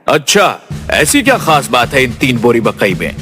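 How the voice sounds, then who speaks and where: A man playing a stately, lively-voiced king. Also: mid-range voice, moderate pace. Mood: authoritative. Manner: authoritative, formal. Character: stately, fair-minded king judging his subjects. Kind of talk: cartoon moral story acting. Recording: music behind.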